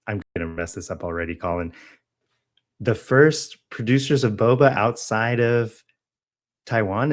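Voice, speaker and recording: A man co-hosting a local news podcast in casual chat, hesitant and often restarting, stumbling over names. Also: fairly low pitch. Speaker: podcast co-host.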